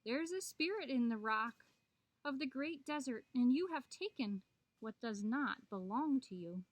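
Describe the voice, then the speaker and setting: A tiny storybook hummingbird, acted out by a woman, high in pitch. She is wise and firm, admonishing with steady insistence, in a dry recording. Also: unhurried, dry recording. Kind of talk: children's storybook telling.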